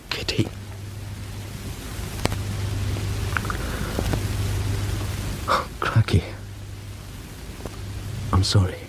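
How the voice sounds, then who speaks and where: An actor playing a soft-hearted, anxious English chip shop man in a radio drama, hesitant and easily rattled. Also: on the low side, noisy background. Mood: tender, awkward. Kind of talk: British radio drama acting.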